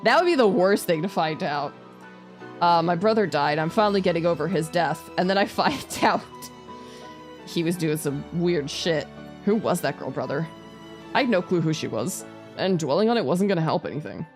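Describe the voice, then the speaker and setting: Low-pitched woman streaming a visual novel. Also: lively intonation, moderate pace. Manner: casual reacting asides, sweary. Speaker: VTuber game streamer. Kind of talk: let's play, reading visual novel text aloud with casual commentary. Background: background music.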